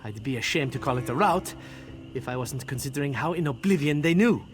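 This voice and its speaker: An actor playing a gruff, sardonic military commander in a fantasy video game, speaking bluntly with folksy humor. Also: male voice, mid-range voice, animated, brisk, close to an everyday voice. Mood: exasperated. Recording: game dialogue over prominent music.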